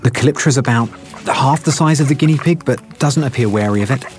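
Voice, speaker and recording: An actor playing an uneasy, earnest research scientist with a hesitant way of talking, in an audio drama. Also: male voice, mid-range voice, fast, faint music behind, lightly acted. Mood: tense, clinical. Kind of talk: full-cast audio drama acting.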